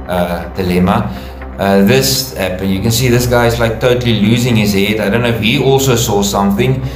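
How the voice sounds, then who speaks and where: Irritated man vlogging in an echoey room. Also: medium pitch. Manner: plain-spoken, a bit irritated, asking viewers to come forward. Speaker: commentary vlogger. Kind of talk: casual commentary. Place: indoors in front of a logo backdrop. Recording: roomy echo.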